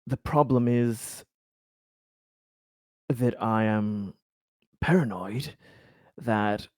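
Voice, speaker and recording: Man playing a paranoid, halting colonist, dry sound. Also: mid-range voice, darkish tone, smooth, dry recording. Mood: wary, suspicious. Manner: halting, guarded, deliberate phrasing. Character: paranoid, wary injured male colonist, stressed.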